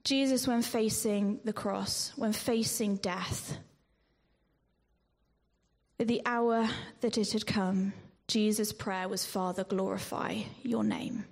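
A woman preaching a sermon in a measured way, with ums and restarts, sometimes dropping to a whisper on scripture. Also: mid-range voice, steady tone, a little mellow. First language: not Greek. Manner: slow, measured delivery with frequent ums and restarts.

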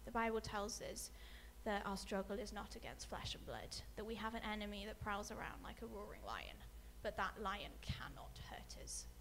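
A woman preaching a sermon, speaking softly with many ums and letting her phrases fade away quietly. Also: medium pitch, very animated, normal speed, hardly any pauses, slight background noise. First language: not English. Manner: soft-spoken, many ums, trails off quietly at phrase ends. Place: church hall with a screen.

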